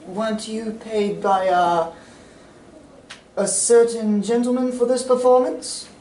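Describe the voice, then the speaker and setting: Actor as loyal gentleman companion, echoey stage. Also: high-pitched, steady tone, takes it slowly. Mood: knowing. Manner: polite, questioning, formal. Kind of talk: old-time radio drama acting on stage. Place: community playhouse stage. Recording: echoey, distant stage recording, faint noise.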